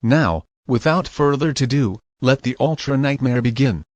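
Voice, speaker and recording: A man's voice: medium pitch, animated, normal speed, darkish tone, dry recording, muffled recording. A man working as an announcer, delivering scripted narration in the style of news and emergency alerts for a horror scenario.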